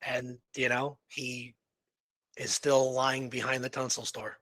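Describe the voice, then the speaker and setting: A man's voice: medium pitch, little pitch movement, slow, fairly bright tone. A man co-hosting a history show in casual, conversational discussion, often dropping in "you know".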